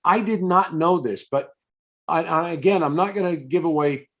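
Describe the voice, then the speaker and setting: A man's voice: fairly high pitch, moderate pace, fairly bright tone. A man hosting a live interview over a video call, effusive and often repeating and restarting his phrases. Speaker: interviewer and event host. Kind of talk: live interview for an old-time radio fan event.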